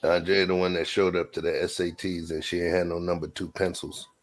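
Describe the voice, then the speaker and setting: Deep-voiced American man hosting casual banter. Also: little pitch movement, brisk. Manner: playful teasing, slangy. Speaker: host of an online reaction show.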